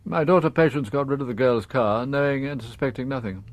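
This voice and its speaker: A man's voice: medium pitch, no room sound, natural voice. Dignified upper-class father, played by a man for radio drama: mid-range voice, guarded confession, careful wording, dry studio sound. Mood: grave, guarded. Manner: formal, reticent, halting, precise.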